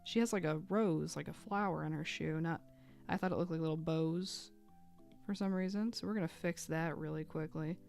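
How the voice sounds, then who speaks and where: A woman chatting casually while she draws on a live stream, thinking aloud with fillers and little laughs, a bit hoarse as she loses her voice. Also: deep voice, normal speed, a little mellow, faint music behind. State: losing her voice.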